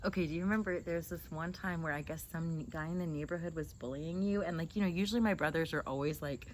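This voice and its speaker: Low-voiced young woman, noisy recording. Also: quick pace. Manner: animated, teasing, joking. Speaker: host interviewing a sibling. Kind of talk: casual chat and storytelling. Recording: noisy, with clear background noise.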